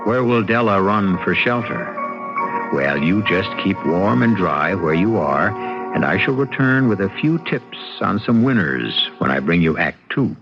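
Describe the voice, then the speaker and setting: Man, old-time radio host, lowish voice, wry scripted narration, muffled vintage sound, music behind. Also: big pitch swings, unhurried. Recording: dull old radio recording.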